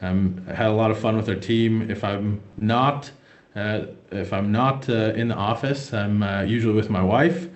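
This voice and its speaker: A man's voice: fairly low pitch, fairly even intonation, moderate pace, roomy sound, lo-fi sound. A young American man presenting a finance webinar, hesitant with many fillers and restarts. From United States. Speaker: wealth manager presenting a webinar.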